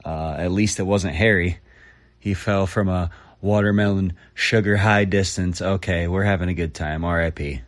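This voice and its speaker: A man calls in by voicemail with a jokey string of puns. His voice is very deep. He delivers the jokes in a playful, self-satisfied way. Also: fairly even intonation, normal speed. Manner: jokey, pun-telling delivery. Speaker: caller.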